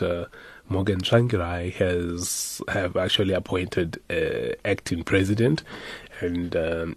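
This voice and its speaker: Hoarse low-voiced male radio host. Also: a little mellow, dry recording. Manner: upbeat, with many filler pauses. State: hoarse and croaky from a cold. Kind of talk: radio drive-show presenting. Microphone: studio microphone.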